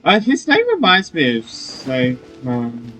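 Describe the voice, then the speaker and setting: A man's voice: mid-range voice, wildly swooping pitch, slow, sharp tone, poor audio. A man giving casual commentary as he streams a video game playthrough.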